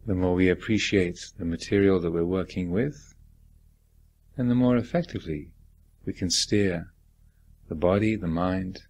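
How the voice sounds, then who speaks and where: A man teaching guided meditation, speaking in a deliberate, reflective manner with gentle questions and long pauses. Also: deep voice.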